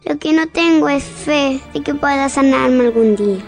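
A woman's voice: high voice, moderate pace, soft background music. A woman playing a serious, disillusioned seven-year-old daughter in a radio drama, speaking earnestly and wistfully. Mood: pleading, disillusioned.

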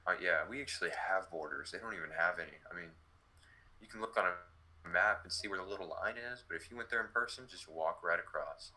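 A man's voice: sits low, fairly quick, sharp tone. A man in casual political chat, speaking plainly and matter-of-factly.

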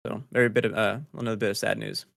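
A man's voice: lowish voice, little pitch movement, racing, gravelly, fairly bright tone, no room sound, clean studio sound. A man hosting a gaming news podcast, chatting through stories in an informal, slangy, conversational way. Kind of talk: casual news chat among co-hosts.

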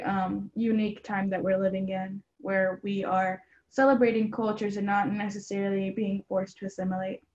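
A woman's voice: mid-range voice, a little mellow. Earnest young woman, lo-fi sound. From Mexican household in Atlanta, Georgia. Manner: earnest, frequent ums and you knows. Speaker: guest. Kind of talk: casual interview answers.

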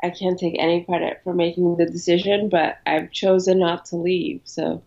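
A woman in her thirties, born in Ukraine and raised in New York, answers interview questions in a casual, hesitant way, often pausing on ums. Her voice sits low for a woman. The audio is dull and lo-fi. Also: gravelly. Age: 30s. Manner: relaxed, hesitant with frequent ums. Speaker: interview guest.

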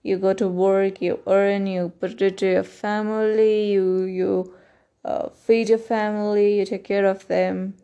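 A woman with an Indian English accent, a Kannada speaker, hosting her own podcast in an earnest, reflective way with frequent pauses and fillers. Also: mid-range voice, flat delivery, mellow, clear, resonant tone. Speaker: podcast host. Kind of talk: solo podcast talk.

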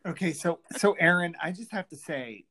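A woman's voice: fairly low pitch, normal speed, fairly bright tone, breathy edge. A woman co-host in a casual chat, teasing and playful.

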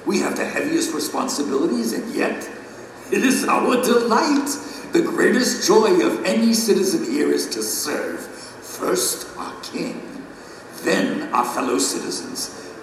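A distant, echoing voice fills a great hall with faint noise. It is a reverent male guide in an audio drama, gentle and formal, measured in every phrase. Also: sits high, big pitch swings, exaggerated voice. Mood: earnest gratitude.

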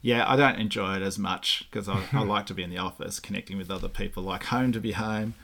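A man's voice: deep voice, fast, creaky. A middle-aged man with an Australian accent, chatting easily as a podcast guest with lots of agreeable fillers. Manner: relaxed, agreeable, many fillers. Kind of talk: casual interview chat.

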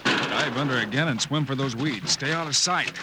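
Man acting a confident cowboy hero, medium pitch, plainspoken, vintage radio western, clear background noise. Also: moderate pace, natural voice. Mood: urgent, commanding. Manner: calm, plainspoken. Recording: noisy old radio recording.

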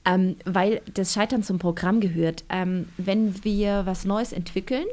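A woman's voice: mid-range voice, fairly even intonation, unhurried, darkish tone. A woman, an author being interviewed, talking casually and reflectively, with many fillers and restarts.

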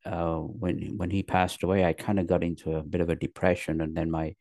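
A middle-aged man from Pakistan, raised in England, recounts his life as an interview guest. His voice sits very low with a gravelly edge, and he stumbles through restarts and fillers. The sound is dry and close. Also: flat delivery, darkish tone, no echo. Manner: rambling, halting with many fillers and repeated words. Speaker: guest, actor and comedian. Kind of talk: casual interview storytelling.

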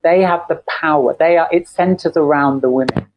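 A woman's voice: deep voice, a little fast, no echo. A middle-aged woman talking candidly as a podcast guest, repeating phrases for emphasis, on a dull recording. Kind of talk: candid conversational interview. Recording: dull lo-fi, dry close sound.

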